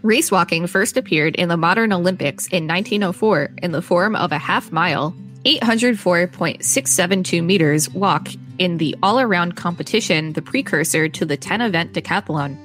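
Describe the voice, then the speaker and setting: A woman's voice: lowish voice, normal speed, hardly any pauses, fairly bright tone, soft background music. A woman co-hosting a podcast, reading encyclopedia text aloud with casual asides. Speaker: podcast co-host.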